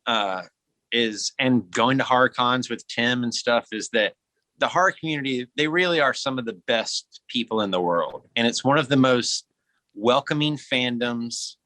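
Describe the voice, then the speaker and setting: A man's voice: mid-range voice, normal speed, pushed and bright, dry recording, low-quality recording. A man chatting casually as a co-host on a podcast. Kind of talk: casual chat.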